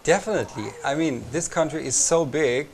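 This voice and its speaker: A young man from Austria with an Austrian accent, a native German speaker, chatting as a guest in halting English full of fillers. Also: on the high side, creaky, a little hiss or hum. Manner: halting, searching for words, many fillers. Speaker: visiting reporter, guest. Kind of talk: casual interview. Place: tv station room.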